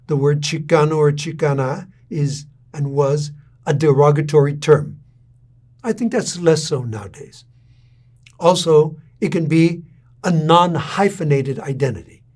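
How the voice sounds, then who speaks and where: Middle-aged guy teaching a class, slightly high voice, slow and deliberate. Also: a little mellow. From San Antonio, Texas. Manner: measured, deliberate storytelling. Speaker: university lecturer. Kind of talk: lecture.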